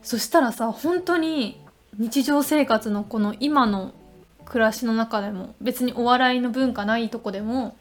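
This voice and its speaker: A young adult woman co-hosting a podcast, telling stories in a chatty, animated way in casual conversation. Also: on the high side, measured pace, soft background music. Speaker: podcast co-host. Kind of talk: casual chat.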